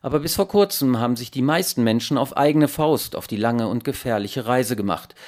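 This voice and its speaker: A male radio reporter narrates a scripted news feature. His voice sits at a medium pitch, and he reads in a measured, emphatic manner, as in a prepared report. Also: lively intonation, a little mellow.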